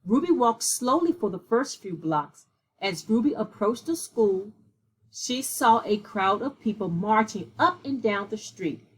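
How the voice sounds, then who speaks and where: Slightly husky woman reading a storybook. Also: mid-range voice, expressive, unhurried, rough recording. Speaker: read-aloud storyteller. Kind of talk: scripted narration of a children's picture book.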